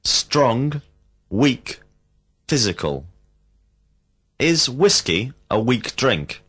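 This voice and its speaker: A man reading out slow, carefully enunciated drill questions as an English teacher in a recorded lesson. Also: mid-range voice, big pitch swings, a bit bright, husky. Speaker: language teacher.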